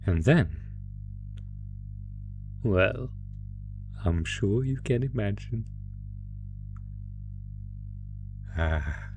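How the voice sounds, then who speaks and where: A man's voice: deep voice, full character voice. A man voicing a sadistic, predatory monster from under the bed in a horror audio roleplay, taunting the listener with mock-sweet, savoring menace. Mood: threatening, pleased.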